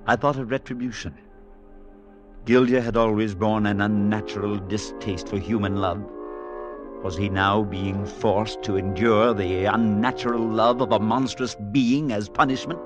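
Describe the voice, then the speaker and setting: A man playing an earnest, troubled priest in an old radio drama, speaking in a measured, grave, theatrical way. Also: lowish voice, lightly acted. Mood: somber reflection. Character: earnest, troubled priest telling his eerie story. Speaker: narrator and character. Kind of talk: 1940s-50s radio drama narration and acting. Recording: vintage radio broadcast with music.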